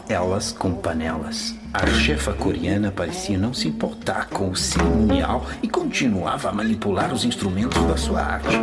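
An actor playing a wry, mock-solemn narrator of a satirical radio soap, reading literary scripted prose. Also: male voice, fairly low pitch.

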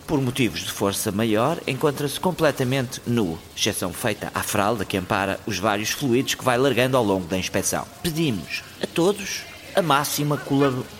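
An actor playing an officious personal assistant in a radio comedy, formal, bureaucratic and deadpan, with a European Portuguese accent. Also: male voice, medium pitch, normal speed, hardly any pauses, natural voice. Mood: solemn, officious. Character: officious male personal assistant giving solemn warnings. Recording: noisy recording.

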